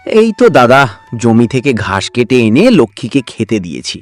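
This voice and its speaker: A man's voice: mid-range voice, sing-song, fairly quick, no room sound, soft background music, lightly acted. A man playing a meek but clever younger brother in a village cartoon, deferential and plaintive. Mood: obedient. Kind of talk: Bengali cartoon dubbing.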